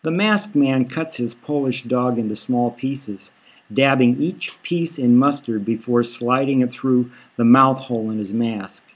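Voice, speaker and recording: Male audiobook narrator, author-read satirical fiction; husky, unhurried delivery. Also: mid-range voice. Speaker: author reading own novel.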